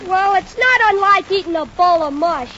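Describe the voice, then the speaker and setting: A woman's voice: very high-pitched, lively intonation, moderate pace, bright, forceful tone, full character voice. A woman playing a cheeky, worldly-wise little boy in a 1940s radio comedy. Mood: worldly, wisecracking. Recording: dull, hissy 1940s radio recording.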